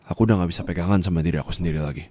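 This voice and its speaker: A young man voicing an angry, heartbroken young man who scolds his partner, swinging from shouting to low bitter muttering, in an ASMR roleplay. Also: very fast, a little hiss or hum, lightly acted. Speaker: roleplay voice actor.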